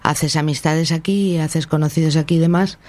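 A woman with a central Spanish peninsular accent, a guest on a panel, talking in a measured, explanatory way. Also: deep voice, fairly even intonation, a little mellow. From Spain. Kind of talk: casual panel chat.

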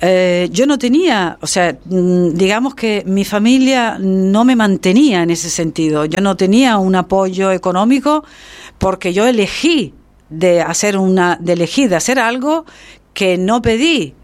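A woman from the Canary Islands recalls her past in a sit-down interview. She speaks at a medium pitch with a reflective, storytelling flow, often pausing and restarting. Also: expressive, darkish tone. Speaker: guest, actress. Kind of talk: conversational interview.

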